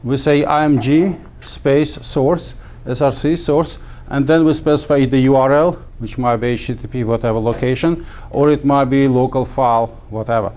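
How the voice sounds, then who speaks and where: A middle-aged man from Armenia teaching a class, talking haltingly with many fillers and restarts. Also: mid-range voice, fairly even intonation, darkish tone. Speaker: instructor. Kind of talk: classroom lecture. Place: small classroom.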